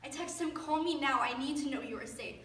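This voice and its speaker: A woman's voice: sits high, brisk, fairly bright tone, distant mic. A young woman acting an earnest small-town woman telling of family tragedy in a dramatic stage monologue, in an echoey, noisy room. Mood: reflective. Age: young adult. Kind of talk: dramatic monologue in readers theater.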